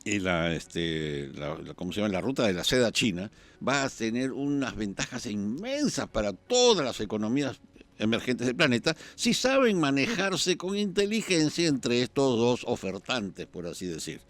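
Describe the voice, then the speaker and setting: Un periodista peruano, invitado en un programa de debate político, que habla pausado y piensa en voz alta entre muletillas. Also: male voice, mid-range voice, big pitch swings. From Peru. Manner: measured, thinking aloud with pauses and fillers. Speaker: journalist guest. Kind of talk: political talk-show discussion.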